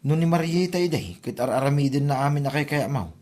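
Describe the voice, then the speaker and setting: A man's voice: a little high, lively intonation, fast, darkish tone, close to an everyday voice. Man playing a teasing Ilocano drinking buddy. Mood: mocking. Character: needling drinking buddy, mocking his henpecked pal. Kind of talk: comedy drama podcast acting.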